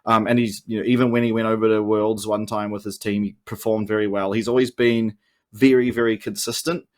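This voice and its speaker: A man's voice: on the low side, little pitch movement, brisk, a little mellow. A man with a New Zealand accent explaining his views at length in casual, analytical podcast chat, like an esports caster. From Oceania.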